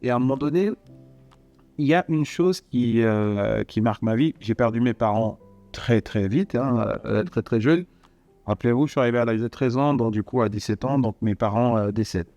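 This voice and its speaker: A man's voice: mid-range voice, moderate pace, a little mellow, highs cut off. Man from Cameroon telling his story. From Cameroon, moved to France at thirteen. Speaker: podcast guest. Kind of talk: personal storytelling in a conversation. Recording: music behind. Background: music.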